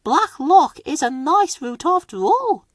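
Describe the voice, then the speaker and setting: High-pitched young female train character, performed by a man, earnest, polite, eager, children's storybook. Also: sing-song, takes it slowly, no echo, clean studio sound, full character voice. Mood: delighted.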